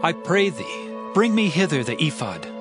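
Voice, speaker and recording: A man's voice: on the high side, big pitch swings, moderate pace, music in the background. A man playing an earnest, pleading young warrior in a dramatized scripture reading, declaiming imploringly.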